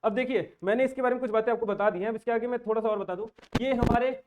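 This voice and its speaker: A man's voice: very high-pitched, steady tone, fast, darkish tone, poor audio. A young man giving informal commentary to viewers, earnest and argumentative, mixing English words into his Hindi and often asking pointed rhetorical questions. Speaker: exam-aspirant community vlogger.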